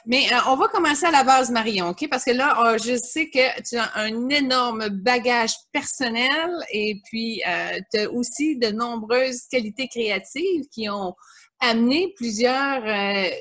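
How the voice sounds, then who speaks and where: A woman's voice: fairly high pitch, measured pace. A woman with a Québécois French accent, hosting an interview in a chatty way with many fillers. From Quebec. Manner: warm, chatty, lots of fillers. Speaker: host.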